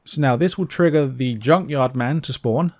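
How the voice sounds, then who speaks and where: A man's voice: mid-range voice, normal speed, a little mellow, dry recording. A man giving casual gameplay commentary, explaining things step by step in an informal way. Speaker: game walkthrough commentator.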